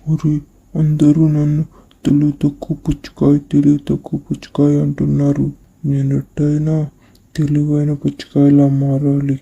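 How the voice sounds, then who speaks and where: A woman voicing a naive, gullible, slow-witted talking watermelon in an animated moral story. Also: sits low, vocal fry, husky, muffled recording, heavily acted. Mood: dejected.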